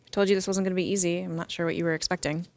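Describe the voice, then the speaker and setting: A woman's voice: lowish voice, level tone, racing, no room sound, close to an everyday voice. A woman playing a frank, firm adviser to an athlete in a video game, speaking directly. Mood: cautioning. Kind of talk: video game cutscene dialogue.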